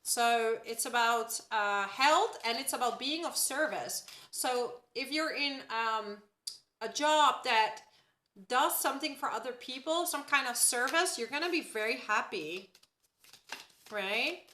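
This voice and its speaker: A woman's voice: on the high side, lively intonation, a little slow, sharp tone, roomy sound. A woman from the Netherlands, a native Dutch speaker, hosting a casual live-stream astrology reading at home and often checking in with her viewers by asking "right?".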